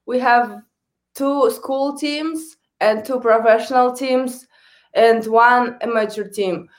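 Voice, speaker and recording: A woman's voice: a little high, fairly even intonation, slow. A young adult woman from Kazakhstan, a native Kazakh speaker with a Kazakh accent, answering interview questions hesitantly in English as a hockey coach. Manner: hesitant, searching for words in non-native English.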